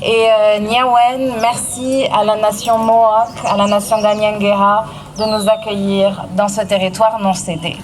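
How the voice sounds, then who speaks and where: A woman's voice: mid-range voice, little pitch movement, a little slow, few pauses. A woman from Egypt, an elected official, giving a sincere public speech outdoors, at times hesitant. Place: outdoor event at an old port. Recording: noisy outdoor public event.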